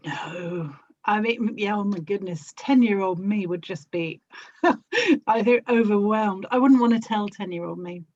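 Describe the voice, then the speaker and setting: A woman's voice: medium pitch, unhurried, darkish tone, dry recording, muffled recording. A middle-aged woman, chatting casually as an interview guest on a podcast. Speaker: podcast guest, audio drama actor and producer.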